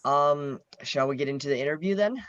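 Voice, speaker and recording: A man's voice: a little high, a little fast, fairly bright tone, no room sound. A young man conducts a casual interview in a laid-back, slightly hesitant manner. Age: teen or young adult. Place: online video call. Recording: dull lo-fi call audio.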